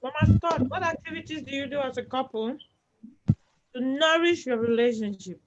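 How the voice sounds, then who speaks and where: A woman's voice: high voice, normal speed. A woman hosting a couples panel at a live event, playfully putting questions and echoing answers back with exclamations. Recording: noisy live event recording with clicks.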